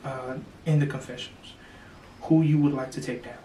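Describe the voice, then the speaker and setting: A man's voice: mid-range voice, steady tone, normal speed, mellow. A young man hosting the show, casually explaining a twist and reading a secret message with many fillers. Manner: laid-back, filler-heavy, jokey. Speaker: host. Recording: echoey room, slightly distant, faint noise.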